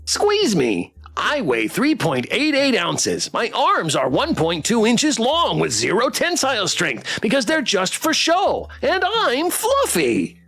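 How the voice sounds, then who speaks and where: A woman voicing a smug, indignant little wellness plush toy in a visual novel, boasting like a sales pitch. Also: wildly swooping pitch, takes it slowly, few pauses, fairly bright tone, husky, faint music behind, full character voice. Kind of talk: visual novel character voice read aloud.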